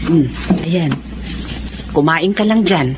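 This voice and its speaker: A woman's voice: low voice, expressive, slow. Caring, worried mother, noisy background. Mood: caring, coaxing. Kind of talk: Filipino radio drama acting.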